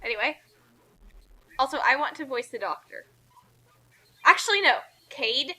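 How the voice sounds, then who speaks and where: A woman's voice: high-pitched, animated, moderate pace, pushed and bright. A woman hosting casual, riffing commentary with friends over an online voice call. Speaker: commentary host.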